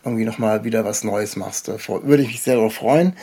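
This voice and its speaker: A man hosting a podcast in a casual interview, talking in a halting, rambling way with a husky voice. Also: lowish voice, warm tone. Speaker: podcast host.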